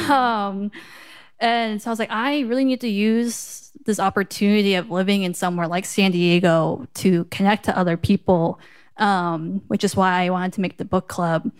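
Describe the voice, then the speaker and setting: A young woman from the Midwest answers a panel question on a festival stage, speaking into a stage mic. Her voice is smooth and clear, set at a middle pitch. She pauses often and searches for words. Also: fairly even intonation. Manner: thoughtful, hesitant, many fillers. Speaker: book club founder.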